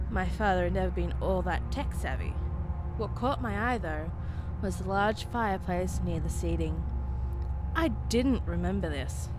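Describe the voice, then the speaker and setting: Loud music plays under a woman's voice as she reads a horror story. Her pitch is average for a woman, and her delivery is dramatic and suspenseful, broken by deliberate pauses. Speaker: narrator. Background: prominent background music.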